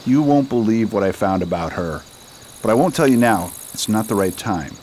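A man playing a shrewd, secretive detective in a radio drama, brisk and authoritative. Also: lowish voice, animated, darkish tone, slight background noise, lightly acted. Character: shrewd, confident male detective, secretive and commanding. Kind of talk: amateur radio drama acting.

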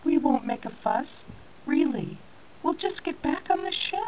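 Radio drama alien mother, played by a woman: gentle, imploring, unhurried delivery over heavy background noise. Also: high voice, animated. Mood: pleading. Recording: very noisy, clicks and insect sounds.

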